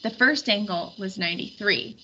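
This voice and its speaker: A woman reading a storybook aloud in expressive scripted narration. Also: mid-range voice, moderate pace. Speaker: storybook reader. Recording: dull recording with faint background noise.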